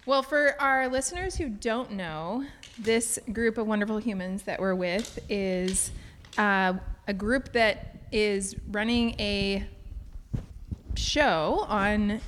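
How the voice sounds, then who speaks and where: A woman's voice: medium pitch, slow, fairly bright tone, slight background noise. Upbeat woman in her forties hosting. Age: 40s. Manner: upbeat, laughs easily. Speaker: podcast co-host. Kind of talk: scripted intro, then casual interview chat.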